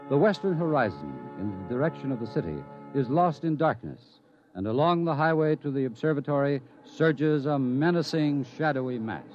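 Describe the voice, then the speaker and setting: A man giving solemn, slow scripted narration in a 1950s radio drama. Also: a little high, lively intonation. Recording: muffled old radio broadcast over music.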